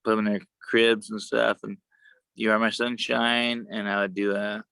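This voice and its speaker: A man chatting casually on a video call, with a worn, sometimes gravelly voice and a halting, rambling way of talking. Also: on the low side, little pitch movement, bright, forceful tone, no echo. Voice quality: gravelly at times. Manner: rambling, halting, many restarts. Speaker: show co-host.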